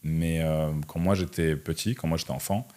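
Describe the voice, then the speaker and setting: A man's voice: very deep voice, fairly even intonation, normal speed, a little mellow. A man in his twenties from Russia, answering interview questions in a casual, slangy, conversational way. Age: 20s. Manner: informal, slangy, frequent "tu vois" tags. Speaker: interview guest, entrepreneur and online content creator.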